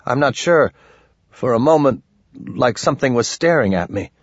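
A man's voice: mid-range voice, expressive, normal speed, breathy edge, dry recording, dull audio, voice pushed up. A man voicing a wary, self-important old wizard with formal, pedantic speech in an audiobook. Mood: uneasy.

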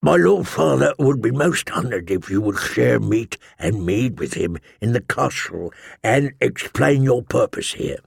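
A man's voice: medium pitch, expressive, measured pace, creaky, breathy edge, no echo, heavily acted. A man plays a tired, weaselly old knight past sixty in a fantasy audiobook. He speaks as a formal envoy, with careful courtesy and a dry, close sound. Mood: courteous.

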